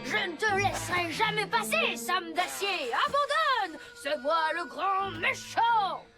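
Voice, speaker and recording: A woman's voice: extremely high pitch, animated, heavily acted. A woman voicing a young girl motorbike who hams up a ninja villain in a French children's cartoon. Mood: hammy, playful. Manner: hammy, drawn-out mock menace. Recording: loud music and crowd behind.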